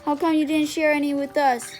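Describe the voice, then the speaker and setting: A woman's voice: sits high, little pitch movement, brisk, a little mellow, soft background music, pitched above the performer's own voice, lightly acted. A woman voicing a cheeky teenage boy in a storybook, casual and slangy, calling out. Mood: teasing, loud.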